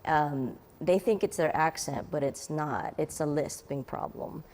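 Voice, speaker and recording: A middle-aged woman dentist from the Philippines, answering interview questions in a soft, halting way with light laughs. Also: low voice.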